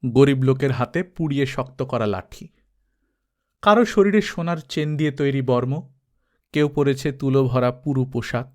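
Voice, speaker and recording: A man reading an adventure novel aloud as narrator, steady and dramatic. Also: medium pitch, normal speed. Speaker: audiobook narrator. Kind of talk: storybook reading.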